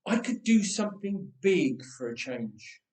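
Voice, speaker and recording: A man's voice: high-pitched, very animated, measured pace, darkish tone, far from the mic. A man voicing an earnest, brave young shepherd boy in a storybook, plain-spoken and determined. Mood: wistful. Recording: roomy, echoing room sound.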